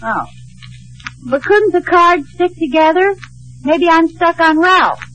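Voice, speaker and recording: Flustered, vain American housewife: high voice, coy comic timing, old-time radio sitcom. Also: female voice, animated, takes it slowly, fairly bright tone, heavily acted, low-quality recording. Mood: dismayed. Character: flustered, vain, scheming young-middle-aged housewife. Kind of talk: 1940s-50s radio sitcom acting.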